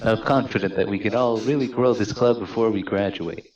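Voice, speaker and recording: A man's voice: fairly low pitch, brisk, a little mellow, highs cut off, natural voice. A man voicing a confident, encouraging schoolgirl club leader in a visual novel game, reading earnestly from the script.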